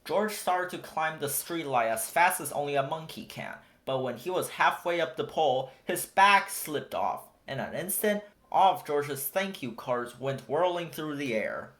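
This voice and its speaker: A storybook reader giving an expressive read-aloud narration for children. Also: mid-range voice, unhurried, hardly any pauses.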